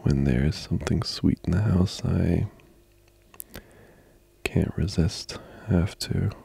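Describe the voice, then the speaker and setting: A man playing a tender, comforting boyfriend in an intimate ASMR roleplay, breathy and often whispering, with slow, soothing murmurs. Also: low-pitched, dark tone, full character voice. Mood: gently playful.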